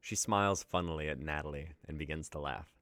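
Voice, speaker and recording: A man's voice: rumbling low, quick pace, fairly bright tone, no echo, clean studio sound. A young man reading scripted story narration and stage directions as the narrator of a dramatic reading. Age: young adult.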